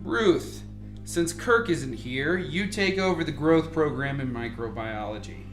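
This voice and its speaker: Radio play lead scientist, acted: calm authority, roomy sound. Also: mid-range voice, unhurried, faint music behind. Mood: authoritative. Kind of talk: radio drama acting.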